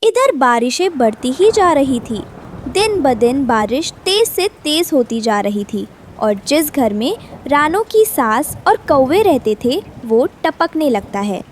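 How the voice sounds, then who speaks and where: A woman's voice: high voice, very animated, slow, slight background noise. A woman giving scripted narration for a children's cartoon story.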